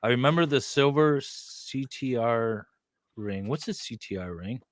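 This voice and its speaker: A man's voice: medium pitch, animated, measured pace, no room sound, clean studio sound. A man co-hosting a livestream, reading aloud casually and breaking off for joking asides. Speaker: co-host of a true-crime livestream.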